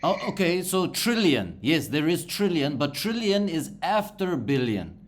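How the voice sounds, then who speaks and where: Lively, patient tutor on a video call. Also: medium pitch. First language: Bulgarian. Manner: slow, encouraging, repeats and spells out words. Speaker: English tutor. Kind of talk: patient online one-on-one lesson.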